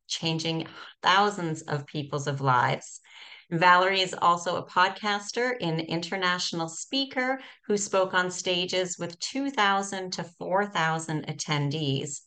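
A woman's voice: lowish voice, bright, forceful tone. A woman hosting a podcast interview, reading out a guest introduction and then asking questions with small restarts. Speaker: podcast host.

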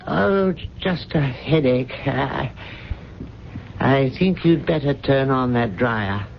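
An actor playing a grave, devoted, ailing butler with a stiff, formal, halting manner in a radio mystery drama. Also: male voice, mid-range voice, expressive, vocal fry. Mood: stiffly polite. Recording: muffled, noisy vintage radio broadcast.

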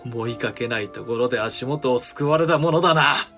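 An actor playing a wary, rueful samurai lord, musing in measured archaic speech in a period audio drama. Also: male voice, mid-range voice, a bit bright, soft background music, close to an everyday voice. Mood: rueful, wry.

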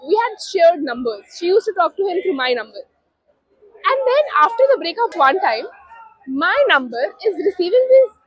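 A woman's voice: very high voice, big pitch swings, moderate pace, poor audio. A woman with an Indian accent casually telling a personal story in a giggly, conversational way with rhetorical questions. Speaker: guest storyteller.

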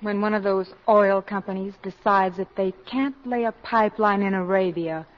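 A woman's voice: mid-range voice, fairly even intonation, normal speed, close to an everyday voice. A woman acting a practical, teasing wife and mother in a 1950s radio drama, with wry, affectionate nagging. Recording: muffled vintage radio broadcast.

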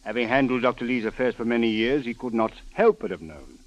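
A man acting a solemn Egyptian police officer in vintage radio drama. He is courteous, formal and grave, at an average pitch. The sound is muffled and old. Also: fairly even intonation, fast, lightly acted.

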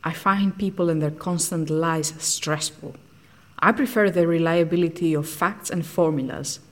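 A woman's voice: deep voice, steady tone, moderate pace, close to an everyday voice. An actress playing a frank, socially outcast woman scientist in an audio drama, speaking in a blunt, matter-of-fact way. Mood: dry, frank.

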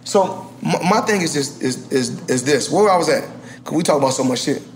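A man's voice: on the high side, expressive, a little fast, slight background noise. A man, talking casually and boastfully, rambling with many restarts. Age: 40s. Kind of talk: casual podcast chat.

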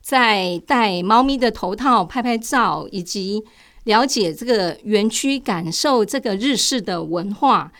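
Older female co-host, Taiwanese Mandarin accent, medium pitch, conversational travel tips. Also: animated, a little slow, a bit bright.

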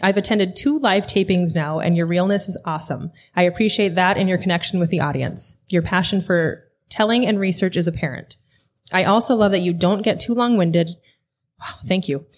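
A woman's voice: lowish voice, brisk. A woman co-hosting a podcast, chatty and teasing, laughing easily. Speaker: podcast co-host. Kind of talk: casual chat.

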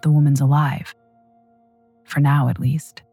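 Very low-pitched woman narrating true crime. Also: level tone, unhurried, mellow, slightly rough, dry recording, soft background music. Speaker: true crime podcast host. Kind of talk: scripted dramatic storytelling narration.

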